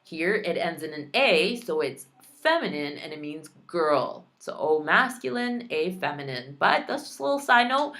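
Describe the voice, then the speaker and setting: A woman's voice: sits low, sing-song, moderate pace, few pauses, fairly bright tone. A young adult woman, a Spanish heritage speaker, teaching vocabulary in a recorded lesson with careful pronunciation and playful asides.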